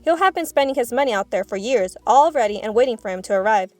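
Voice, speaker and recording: A woman voicing a gentle, composed and caring storybook schoolteacher, speaking in a soft, measured way. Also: a little high, animated, no room sound, faint music behind, lightly acted. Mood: matter-of-fact.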